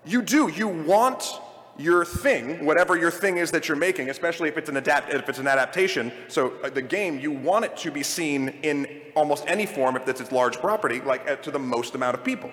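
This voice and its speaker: A man with a fairly high voice hosts a casual live panel. His pitch moves around in a lively way, and the big room adds a long reverb to his words. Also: fairly quick. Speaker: panel moderator and podcast host. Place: large convention panel room. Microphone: stage microphone and PA.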